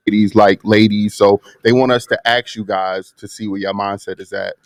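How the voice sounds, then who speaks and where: A man's voice: on the low side, fairly even intonation, moderate pace, no room sound. Laid-back man on a dry mic. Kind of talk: casual chat.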